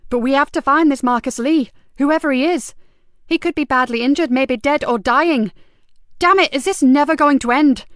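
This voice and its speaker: A woman's voice: fairly high pitch, steady tone, brisk, smooth, no room sound, clean studio sound, natural voice. A woman voicing an urgent female police inspector who gives clipped, pressing orders.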